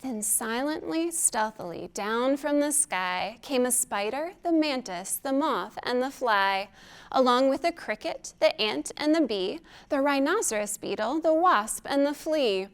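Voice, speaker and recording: A woman's voice: high-pitched, animated, a little slow. A woman hosting a children's storytime as a librarian, reading aloud expressively and putting questions to young listeners.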